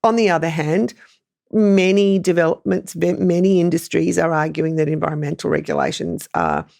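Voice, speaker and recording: A woman's voice: sits low, animated, normal speed, vocal fry, a little mellow. A woman with an Australian accent giving conversational, explanatory political analysis as a news panellist.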